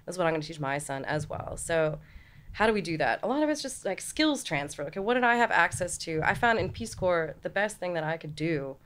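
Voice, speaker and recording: A woman's voice: lowish voice, animated, brisk, a bit bright. An American woman talking as a guest in a casual interview, earnest and hopeful, often laughing lightly. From United States.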